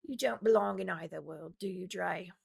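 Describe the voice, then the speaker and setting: Lady reading as a predatory guild champion guy, sly and slow, creaky fantasy audiobook villain vibe. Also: lowish voice, darkish tone, exaggerated voice. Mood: sly teasing. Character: predatory, cunning male guild champion, slyly courting.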